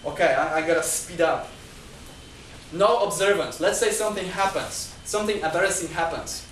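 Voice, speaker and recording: A man's voice: on the high side, normal speed, fairly bright tone, slight background noise. A man giving a talk at a discussion group, working through numbered points with frequent restarts and repeated words. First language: not Chinese. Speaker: guest speaker. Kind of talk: lecture-style talk. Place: talk venue, indoors. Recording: echoey room, mic at a distance.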